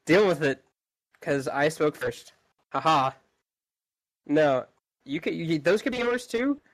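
A man in relaxed informal conversation, co-hosting a podcast. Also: a little high, takes it slowly. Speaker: podcast host. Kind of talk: casual chat.

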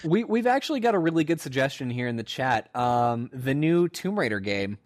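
A young man co-hosting a casual podcast chat, animated and telling stories with acted-out quotes. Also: medium pitch, normal speed, no echo, clean studio sound. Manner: animated storyteller, acts out quotes. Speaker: podcast co-host.

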